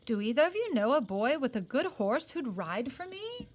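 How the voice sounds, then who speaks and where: An older British army medical officer from a historical storybook , acted out by a woman reading aloud. The voice sits on the high side, with a civil, serious manner. The delivery is measured and conciliatory. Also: very animated. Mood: civil, businesslike.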